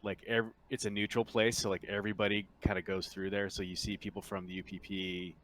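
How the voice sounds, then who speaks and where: Low-voiced man running a game. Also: flat delivery, a bit bright. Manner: halting, informal, conversational. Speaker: game master. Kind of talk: casual tabletop game narration.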